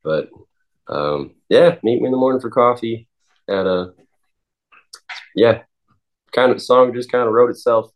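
A man of twenty, a singer-songwriter, answering interview questions in a halting way with many pauses and restarts. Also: mid-range voice. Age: 20s.

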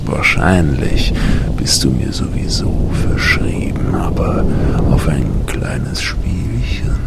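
A man voicing a cruel, taunting male lord of thunder in a dramatic audiobook reading, speaking in slow, threatening whispers over storm sound effects. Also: extremely low pitch, creaky, pitched below the performer's own voice, exaggerated voice. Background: rolling thunder and wind noise.

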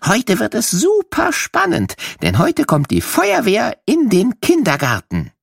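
Animated male children's storyteller. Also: high voice, measured pace, no echo. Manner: animated, clearly enunciated reading for young children. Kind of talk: scripted children's story narration.

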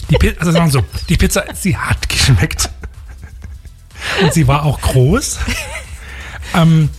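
A man's voice: fairly high pitch, a little slow, breathy edge. Saxon guy hosting radio w a little lisp, chatty and self-mocking, lots of restarts, faint music under it. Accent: Saxon German. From Leipzig, Germany. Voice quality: slight lisp. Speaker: radio host. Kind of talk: casual on-air chat.

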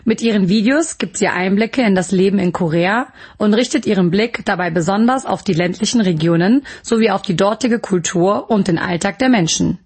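A woman hosts a radio interview in speech. Her voice is husky and sits at a middle pitch. She moves between scripted announcements and friendly questions. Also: darkish tone. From Germany.

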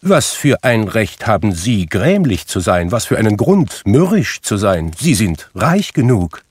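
A man voicing a cheerful, warm-hearted young nephew in a storybook reading, talking brightly and eagerly. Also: lowish voice, sing-song, unhurried, husky, full character voice. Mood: earnest.

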